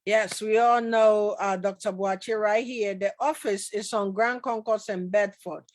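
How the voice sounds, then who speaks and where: A woman's voice: mid-range voice, fairly even intonation, measured pace. Chatty woman hosting a talk show. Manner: chatty, repeats welcomes, many fillers. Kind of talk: casual interview hosting.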